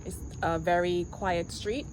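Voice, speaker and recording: A woman in her forties, originally from Jamaica, vlogging to camera outdoors on a quiet street in an earnest, reflective way. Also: on the low side, steady tone. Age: 40s. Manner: earnest, reflective, with pauses and restarts. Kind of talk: casual vlog to camera. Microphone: handheld camera. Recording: outdoor noise with insects. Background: insects and passing vehicles.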